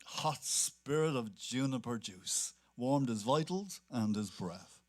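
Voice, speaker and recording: A man's voice: mid-range voice, lively intonation, slow pace. Guy narrating a literary novel, mid-range voice, dramatic reading.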